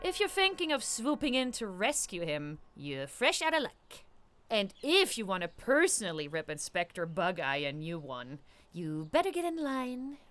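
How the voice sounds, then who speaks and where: A woman voicing a cocky, mischievous young girl creature who brags in slang in an animated comic dub, with music behind her. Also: mid-range voice, moderate pace. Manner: slangy, bragging.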